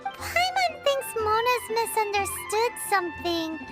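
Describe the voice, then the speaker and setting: A woman voicing a tiny, excitable fairy companion in a video game, with a squeaky, childlike, cutesy delivery. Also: a little slow, exaggerated voice. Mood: puzzled concern. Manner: cutesy, exclamatory. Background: music.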